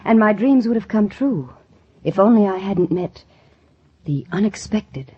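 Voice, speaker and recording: A woman's voice: medium pitch, animated, unhurried, mellow, a little hiss or hum, close to an everyday voice. An actress playing an earnest, ambitious young country woman in a 1940s radio drama, speaking in a dramatic, wistful and emphatic way. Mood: wistful, dreamy. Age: young adult. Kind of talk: 1940s radio drama acting and first-person narration. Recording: muffled old 1940s radio recording.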